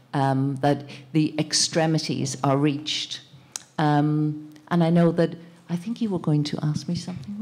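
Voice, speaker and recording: Female panel moderator, middle-aged, low register, thoughtful, searching delivery. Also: a little mellow. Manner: halting, searching for words. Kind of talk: thoughtful panel discussion speech. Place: indoor panel event in a plain gallery room. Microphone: handheld microphone.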